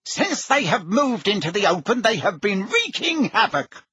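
A man's voice: sits high, sing-song, measured pace, fairly bright tone, breathy edge, dry recording, studio quality, pitched above the performer's own voice, full character voice. A man voicing a flustered, defensive older wizard minister in an audiobook, speaking with agitated urgency. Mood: urgent. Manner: agitated, urgent, self-justifying. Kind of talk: audiobook character acting.

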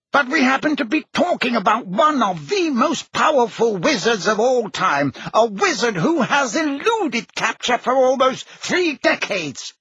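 A man's voice: sits high, fairly bright tone, slightly rough, no echo, highs cut off, pitched above the performer's own voice, full character voice. A man voicing a flustered, defensive older wizard minister in an audiobook, speaking with agitated urgency. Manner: agitated, urgent, self-justifying. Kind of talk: audiobook character acting.

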